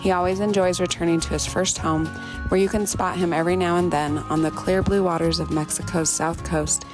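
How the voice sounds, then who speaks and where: Woman podcast host, low voice, slow solemn narration, guitar music underneath. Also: steady tone. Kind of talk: scripted intro narration and interview. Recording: music bed behind the voice.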